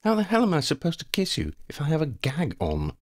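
Storybook heroine, performed by a man: young, emotional, questioning, raised pitch with very lively swings. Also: brisk. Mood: surprised. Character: earnest, startled young woman, brainy and affectionate. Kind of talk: audiobook character voice.

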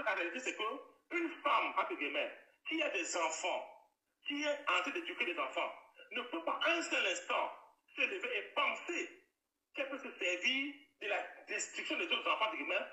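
A bright, sharp-toned man, his high voice swinging widely as he presses each point. He keeps repeating himself and hedging with air quotes. The sound comes from a roomy space, set back from the mic. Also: very animated, moderate pace. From Bamenda, Cameroon. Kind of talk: video call discussion. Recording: roomy echo, distant from the mic.

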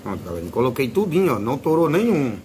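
A man's voice: mid-range voice, sing-song, fast, a little mellow, slight background noise. A man with a Northeastern Brazilian accent giving a casual, informal hands-on tutorial as a fishing channel host. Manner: informal, fond of diminutives.

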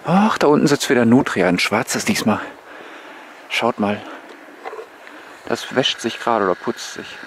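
A man giving relaxed, musing, casual commentary to viewers as a vlogger on a bike tour. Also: medium pitch, a little slow, pauses often. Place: outdoors on rural paths and waterside. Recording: noisy outdoor recording with passing vehicles.

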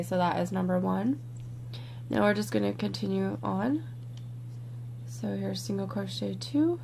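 Croaky, congested woman teaching a craft. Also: mid-range voice, background noise. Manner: relaxed, chatty, drops to near-whispers while counting stitches. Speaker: crafting tutorial host. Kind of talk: step-by-step craft tutorial. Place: indoors at a worktable, overhead camera on hands.

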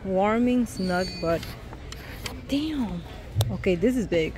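A young woman chatting casually and excitedly to her phone camera while shopping and then eating out. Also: mid-range voice, takes it slowly. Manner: chatty, excited, exclaims over cute things. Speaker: vlogger. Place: busy store and fast-food restaurant. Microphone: handheld phone camera. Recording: noisy public place ambience.

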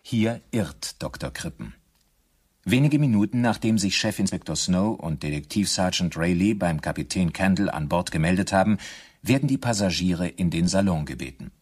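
Male narrator for radio drama: very low, husky voice, scripted scene-setting. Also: expressive, normal speed, darkish tone.

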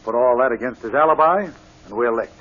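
Husky, blunt police inspector, played by a man. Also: medium pitch, a little fast, fairly bright tone, lightly acted. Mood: frustrated. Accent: American. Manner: blunt, slangy, grumbling. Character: blunt, exasperated male American police inspector. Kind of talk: old radio drama acting. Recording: dull, muffled old radio broadcast.

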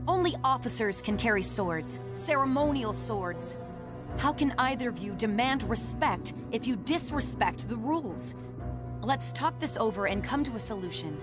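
A woman's voice: on the high side, expressive, moderate pace, music in the background, natural voice. A woman playing a stern, proud, rule-bound young soldier in a video game, speaking bluntly. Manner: blunt, clipped, scolding. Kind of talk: English game voice acting.

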